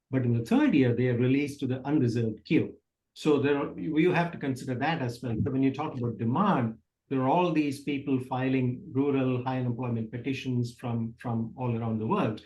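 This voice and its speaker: A man explains a complex topic step by step as a guest on a webinar, his voice mid-pitched. He builds his points in order over a video call. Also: brisk, darkish tone, rough recording. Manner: explanatory, walks through steps. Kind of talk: webinar discussion.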